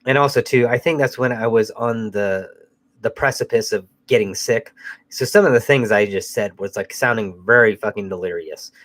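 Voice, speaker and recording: A man's voice: lowish voice, moderate pace, a bit bright. A man hosting a casual live chat while fighting a cold, sounding nasal, rambling loosely and swearing now and then. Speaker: host.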